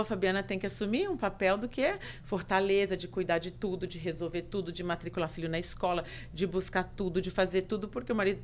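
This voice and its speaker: A middle-aged Brazilian woman with a Brazilian Portuguese accent, a podcast guest telling her own story in casual chat, expressive and emphatic, listing things in rhythmic runs. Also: medium pitch, slight background noise.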